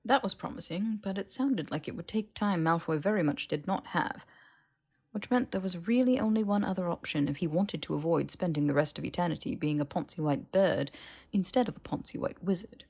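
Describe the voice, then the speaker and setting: A woman's voice: fairly low pitch, a little fast, creaky, a little mellow, husky. A woman reading scripted fiction aloud as an audiobook narrator. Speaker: podfic narrator.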